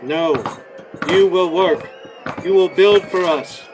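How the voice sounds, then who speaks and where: A man playing a cold, commanding robot leader in a 1930s-style radio drama, speaking in measured, declamatory orders. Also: sits high, low-quality recording.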